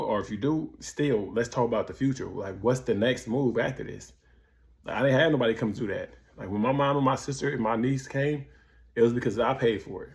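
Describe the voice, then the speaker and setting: A man's voice: mid-range voice, gravelly, a little mellow. An American man speaking in a casual, candid monologue, slangy, repeating himself as he searches for words. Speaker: vlogger sharing personal experience of prison.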